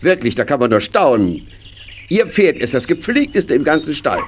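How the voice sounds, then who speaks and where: A man's voice: fairly high pitch, very animated, moderate pace. A man playing a hearty, good-natured, middle-aged husband on a farm in a children's radio play, jovial and emphatic. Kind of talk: German children's audio play acting. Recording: noisy vintage audio play recording.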